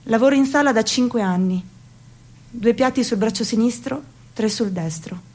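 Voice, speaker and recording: A woman's voice: on the high side, a little mellow, close to an everyday voice. An actress in her thirties playing a bitter, ironic young waitress in a theatrical monologue. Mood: sardonic. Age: 30s. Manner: sarcastic, measured delivery. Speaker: actress in a radio theatre piece.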